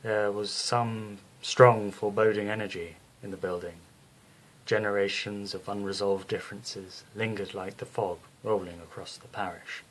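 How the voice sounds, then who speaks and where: A man narrating a scripted bedtime story as the storyteller, in a measured, descriptive way. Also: on the low side, steady tone, poor audio.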